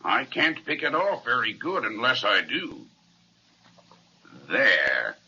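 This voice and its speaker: Bright, husky gentle giant. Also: male voice, mid-range voice, huge pitch swings, slow, exaggerated voice. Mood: kindly, eager. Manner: folksy, kindly. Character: gentle, friendly, polite male giant stuck in a magic forest. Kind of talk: old-time children's radio serial acting. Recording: muffled, lo-fi old radio recording.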